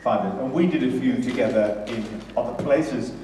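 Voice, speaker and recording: A man's voice: medium pitch, normal speed, crowd noise. A British man with an informal, chummy way of talking, interviewing on stage as a theatre director. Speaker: pantomime director hosting an interview. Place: large echoey room at a theatre launch event. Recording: very echoey hall, distant mic, faint noise.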